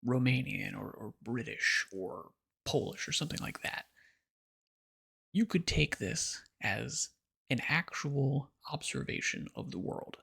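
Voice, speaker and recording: Brief: American male pundit, sarcastic and lecturing, rough fry-heavy voice. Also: mid-range voice, animated, unhurried, bright, forceful tone. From United States. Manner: blunt and sarcastic, lecturing the listener. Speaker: political commentator. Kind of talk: opinionated monologue.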